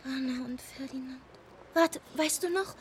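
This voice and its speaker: A woman's voice: high voice, measured pace, a little hiss or hum. A woman playing an obsessive, wounded young woman in a radio drama, shifting between muttering and pleading.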